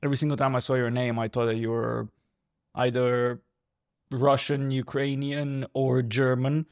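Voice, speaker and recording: Gravelly-voiced man hosting a podcast. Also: mid-range voice, level tone, moderate pace, no echo, clean studio sound. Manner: friendly, laughs often, asks open questions. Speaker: podcast host. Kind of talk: casual interview chat.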